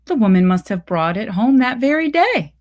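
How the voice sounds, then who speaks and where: A woman reading literary fiction aloud as scripted narration, with measured, deliberate phrasing. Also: fairly high pitch, very animated, a little mellow, dull audio. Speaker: reader of a short story.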